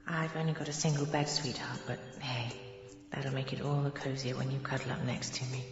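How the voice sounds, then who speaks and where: A seductive, affectionate woman from a radio comedy daydream. Her voice is low and level, coaxing in a cosy way, and swims in echo. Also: little pitch movement, brisk, lo-fi sound. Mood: coaxing, tender. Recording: echoey dreamlike reverb, music behind.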